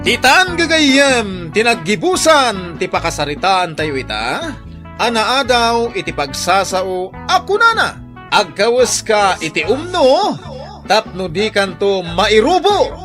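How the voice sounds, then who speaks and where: A male radio drama presenter delivers a scripted introduction. He declaims very slowly, with wide swings in pitch. Faint music plays underneath. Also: very animated, pushed and bright.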